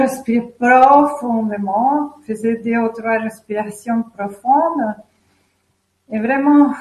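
A woman from Mexico, speaking as a guest who gently explains and guides. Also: on the high side. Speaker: guest, self-questioning workshop leader. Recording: roomy echo.

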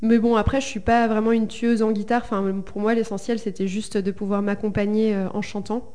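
Soft-toned young woman, hesitant. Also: medium pitch, level tone, a little fast, smooth. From Germany. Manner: hesitant, many fillers, laughs easily. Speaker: singer in a folk duo. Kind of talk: casual radio interview.

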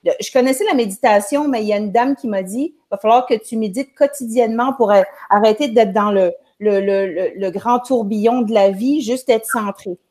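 A woman in her forties with a Québécois French accent, speaking in a somewhat high voice. She tells a long personal story in a chatty, rambling way, full of restarts. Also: moderate pace, hardly any pauses, darkish tone. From Quebec. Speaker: guest, music teacher. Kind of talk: casual storytelling on a live show.

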